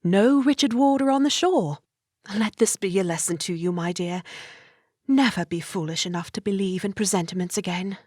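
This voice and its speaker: An actress playing a brisk, sensible married Victorian lady, scolding and theatrical, in an audiobook drama. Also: medium pitch, a little mellow, dry recording, studio quality, natural voice. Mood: admonishing.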